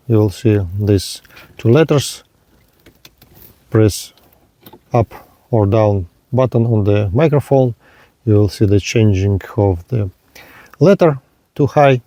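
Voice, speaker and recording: A man narrating a hands-on product demonstration in halting, simple step-by-step phrases. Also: mid-range voice, mellow, no echo. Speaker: product reviewer.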